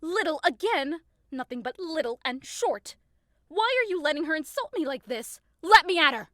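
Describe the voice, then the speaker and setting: A woman's voice: high-pitched, very animated, moderate pace, pushed and bright, dry recording, studio quality, exaggerated voice. A woman playing a short, hot-tempered young woman hurt in love, melodramatic and indignant, in a modernized Shakespeare audio drama. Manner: melodramatic, indignant, outbursts swinging to wounded pleading.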